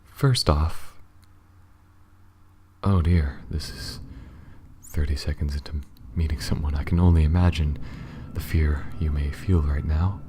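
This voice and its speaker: A man playing a gentle, apologetic alien pilot in an ASMR audio roleplay, speaking softly, often near a whisper, in a careful, halting, formal and reassuring way. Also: steady tone, a little hiss or hum. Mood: anxious, soothing. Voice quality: soft, very low, breathy, often near whisper. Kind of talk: ASMR audio roleplay, scripted monologue addressed to listener.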